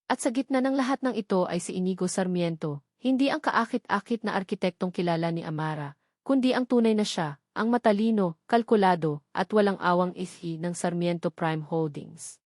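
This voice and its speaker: A woman with a Filipino accent narrates a scripted romantic drama in a measured, dramatic storytelling style. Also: lowish voice, darkish tone, no room sound, clean studio sound.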